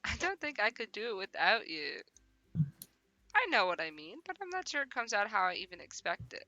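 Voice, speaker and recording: A woman's voice: mid-range voice, very animated, brisk, sharp tone, dry recording, muffled recording. A woman livestreamer reading a visual novel aloud, mixing narration with giggly, teasing, theatrical banter. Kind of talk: livestream play-along, narration mixed with excited banter.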